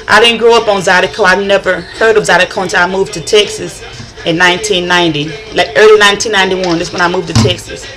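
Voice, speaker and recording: A woman's voice: fairly low pitch, fairly even intonation, fast talker, over music. A middle-aged woman from northern Louisiana with a country accent, rambling in a chatty vlog monologue and often restarting her sentences. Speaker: vlogger.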